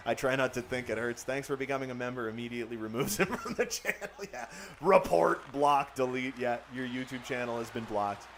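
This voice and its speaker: A young man streaming a hockey video game at his home setup, calling the plays and reading out chat, jokey and excitable with loud bursts on big moments. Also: medium pitch, normal speed. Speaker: gaming streamer doing play-by-play.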